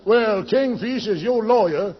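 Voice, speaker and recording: A man's voice: high-pitched, a little slow, husky, faint music behind. A man playing a shady, self-important cut-rate lawyer in a 1940s radio sitcom, pompously drawing out his explanations. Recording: muffled vintage radio recording.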